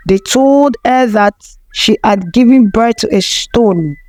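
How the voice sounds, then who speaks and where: A woman's voice: a little high, slow pace, darkish tone, no echo. A woman narrating a folktale from a script as a storyteller.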